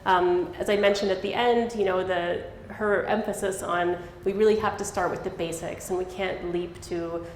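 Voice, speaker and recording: A woman's voice: lowish voice, steady tone, normal speed, few pauses, a little mellow, a little hiss or hum. Woman linguist in an echoey hall. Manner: thoughtful, explanatory, laughs lightly. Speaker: linguist giving a public talk. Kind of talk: conversational public Q&A. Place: lecture hall. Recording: echoey hall with faint ambience.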